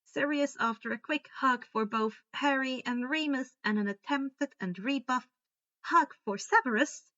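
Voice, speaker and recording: A woman reading fan fiction aloud as an amateur narrator, expressive and stumbling now and then. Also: sits high, a little slow, muffled recording. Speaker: amateur audiobook reader.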